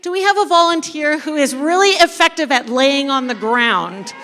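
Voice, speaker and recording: A woman leading an interactive storytelling sermon for a church congregation, playful and speaking directly to her listeners with dramatic pauses. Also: sits high, fairly bright tone, a little hiss or hum. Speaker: kids ministry coordinator leading a church service. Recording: echoey hall with long reverb.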